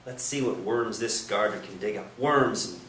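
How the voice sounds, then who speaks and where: A man's voice: lowish voice, normal speed, a bit bright, lightly acted. An actor playing a nosy, lecherous priest in a staged play reading, coaxing and insinuating as he goes. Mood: scheming. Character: nosy, wheedling, lecherous priest hungry for confessions. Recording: roomy, distant stage recording, faint noise.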